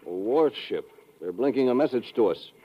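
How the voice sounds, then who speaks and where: An actor playing an easygoing, practical American ship's first mate in a 1950s radio drama, talking in a matter-of-fact, colloquial way. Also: male voice, mid-range voice, expressive, normal speed, close to an everyday voice. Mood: alarmed. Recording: dull lo-fi old radio recording.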